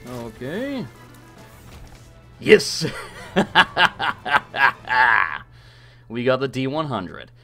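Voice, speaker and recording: A man commentating a live gameplay stream, hoarse and losing his voice, talking frantically and swearing often. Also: mid-range voice, sing-song, extremely slow, long pauses, bright, forceful tone, soft background music. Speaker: gaming streamer.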